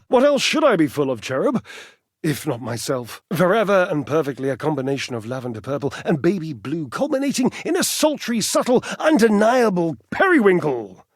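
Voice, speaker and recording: An actor playing a vain, witty, lovesick clothes dryer in a video game, with a theatrical, florid and coquettish delivery. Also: male voice, a little high, big pitch swings, normal speed, no echo, clean studio sound. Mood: playful, theatrical. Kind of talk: video game character acting.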